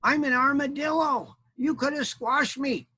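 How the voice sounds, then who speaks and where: Man doing a high, complaining armadillo. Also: unhurried, pushed and bright, heavily acted. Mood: indignant. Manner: complaining, indignant. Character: grumpy armadillo stepped on by a knight.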